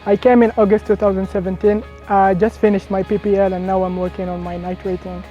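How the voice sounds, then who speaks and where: A man from Algeria, a student pilot, speaking in a steady, rehearsed testimonial. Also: high-pitched, fairly quick, dull audio, faint music behind. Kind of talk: testimonial interview.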